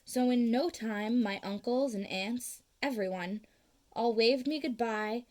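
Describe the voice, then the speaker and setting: A woman reading a children's storybook aloud in an expressive style. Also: a little high, unhurried. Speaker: storybook reader.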